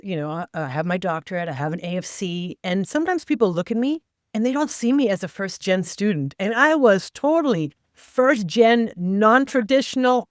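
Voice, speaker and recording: A woman whose first language is not English, guesting on a podcast and telling her story in a lively way with quoted asides. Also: mid-range voice, no room sound, clean studio sound. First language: non-English first language. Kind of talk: conversational interview.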